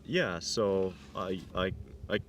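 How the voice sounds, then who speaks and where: A man with a very deep voice, talking about his sauna business in a relaxed, halting way and repeating words as he goes. He is picked up by a distant mic outdoors, with a faint hum of surroundings behind him. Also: highs cut off. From Finland. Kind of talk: casual interview. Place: outdoors on a farm.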